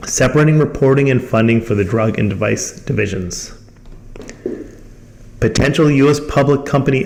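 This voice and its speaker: A man's voice: mid-range voice, darkish tone. A man reading a scripted company presentation in a formal manner as the host. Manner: formal, reading from prepared text.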